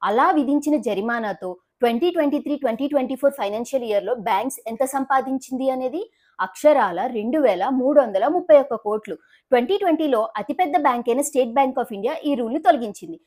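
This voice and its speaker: A woman's voice: fairly high pitch, darkish tone. A woman presenting news stories as scripted narration.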